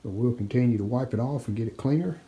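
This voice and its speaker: A man giving casual, plainspoken, unhurried narration of hands-on workshop work, like a DIY video host. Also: medium pitch, dull audio, noisy background. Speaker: host of a restoration channel.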